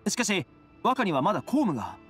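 A loyal young manservant in an anime-style game. His voice sits a little high and moves in lively swings, friendly and respectful. Faint music runs underneath. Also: a little fast, exaggerated voice. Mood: dutiful. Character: friendly, dutiful young male retainer, easygoing and loyal.

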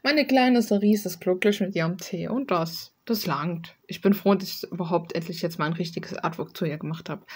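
Young woman artist rambling casually at her desk, medium pitch, slangy n self-deprecating, swears a bit. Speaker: illustrator presenting own artwork. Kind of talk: casual, rambling art vlog chat. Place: small room at home, desk.

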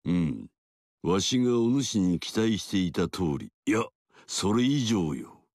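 An actor playing a dignified, commanding older male warlord in a game, measured and authoritative. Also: low-pitched, expressive, a little mellow, dry recording, studio quality. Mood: approving. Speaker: game character voice. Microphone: dry studio mic.

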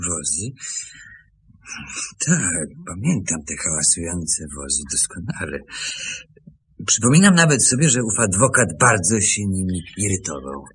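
An actor playing an aging emigrant watchmaker in a radio play, hesitant and emotional, with a gravelly, husky voice. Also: male voice, low-pitched, sing-song, measured pace, pauses often, a bit bright, slight background noise, exaggerated voice. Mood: agitated. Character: aging emigrant watchmaker, wounded by an old false accusation.

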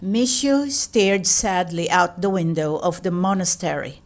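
A woman narrating a children's storybook as an expressive read-aloud, stressing key words with deliberate drama. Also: lowish voice, soft background music.

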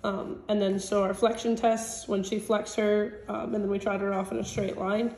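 A woman with a stutter reads out exam findings in a flat, even voice. The room is echoey, with faint noise behind her. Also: mid-range voice, moderate pace. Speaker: vet technician.

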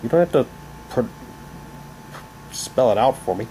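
A man's voice: mid-range voice, animated, a little slow, warm tone, slight background noise, rough recording. A man casually commenting and reading documents aloud as he presents an unboxing. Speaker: unboxing vlogger.

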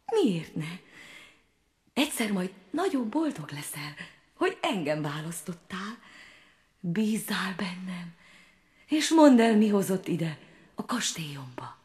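Picture a tiny fairy-tale frog maiden, soft-spoken and coaxing, her voice lilting and sing-song as she hushes into whispers, as a woman puts her on. Her pitch rises and falls with lively swings, never loud, always tender and patient. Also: long pauses, reverberant. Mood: gentle coaxing. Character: sweet little female frog, gentle and coaxing.

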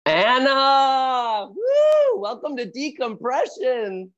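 Gushing festival hippie, muffled audio. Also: male voice, very high voice, wildly swooping pitch, very slow, a bit bright, full character voice. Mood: ecstatic. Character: gushing, spacey male festival hippie, effusive and oblivious. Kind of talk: comedic table read. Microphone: earphone mic on video call. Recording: dull video-call audio.

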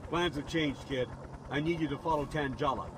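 An actor playing a ruthless military commander, a video game villain with an authoritative, barking delivery. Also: male voice, fairly high pitch, moderate pace, noisy background, close to an everyday voice. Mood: cold command. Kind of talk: dramatic video game dialogue.

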